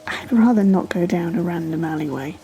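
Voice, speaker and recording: An actress playing a cautious, caring young college student in an audio drama, sounding concerned. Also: female voice, fairly low pitch, fairly quick, lo-fi sound, background noise, close to an everyday voice.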